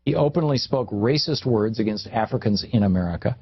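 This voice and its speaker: Husky man on a tinny, phone-like recording. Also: medium pitch, lively intonation, fairly quick. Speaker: radio talk show host. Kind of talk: radio commentary, reading aloud from a newspaper column. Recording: tinny phone-like radio audio, roomy echo.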